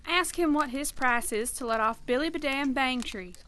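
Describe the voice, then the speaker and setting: A woman's voice: high-pitched, moderate pace, bright, forceful tone. A woman playing a plucky, forthright young frontier woman, plainspoken, in a radio drama. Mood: earnest.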